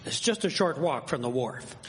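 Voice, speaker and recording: A man's voice: mid-range voice, sing-song, moderate pace, close to an everyday voice. A man acting a boisterous, blunt, folksy townsman who hawks bets and drink in a live radio drama. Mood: brash, helpful. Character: rowdy brash townsman hawking street-fight gambling and drink. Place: performing arts centre stage. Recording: long hall reverb of live stage.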